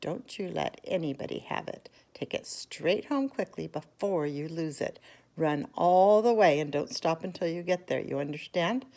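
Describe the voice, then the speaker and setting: A woman voicing a jolly, excitable fat male shopkeeper in a storybook reading. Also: lowish voice, normal speed, few pauses, lightly acted. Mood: whispering. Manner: loud, excited yelling.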